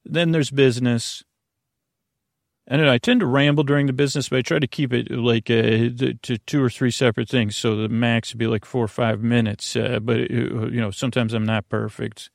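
A man with a creaky voice hosting a sleep podcast in a meandering, halting monologue that often interrupts itself. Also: medium pitch, little pitch movement, no echo, clean studio sound. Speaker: podcast host.

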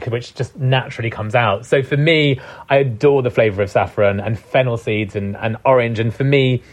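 Thoughtful man, cookbook author. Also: mid-range voice. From Britain. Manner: thoughtful, searching for words, many fillers. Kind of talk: reflective conversational interview.

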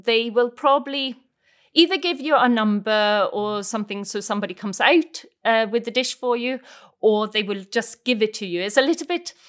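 Patient female teacher. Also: on the high side. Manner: patient, explains step by step, models phrases. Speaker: Swedish language teacher.

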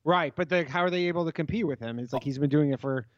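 A man hosts a relaxed podcast chat, agreeing readily and trailing off mid-sentence. He talks fast in a middling voice, and the recording is a little dull and dry. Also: fairly high pitch, dry recording. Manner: quick, agreeable, lots of yeahs, trails off.

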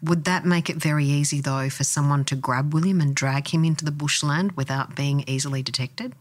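A podcast host presenting in a measured, scripted style. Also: medium pitch, fairly even intonation.

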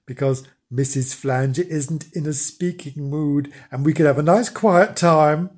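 A man voicing a flustered, forgetful middle-aged husband in a storybook, squeaking high and breathless. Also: measured pace, a little mellow. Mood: sly.

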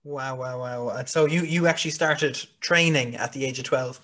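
A man's voice: medium pitch, moderate pace, fairly bright tone. Man hosting a friendly interview. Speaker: interview host. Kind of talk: casual interview chat.

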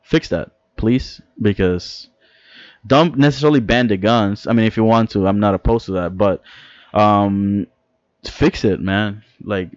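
A young man from the Dominican Republic, co-hosting a podcast in casual chat, excitable and rambling, cursing freely. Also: lowish voice, unhurried, dry recording, highs cut off. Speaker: podcast co-host.

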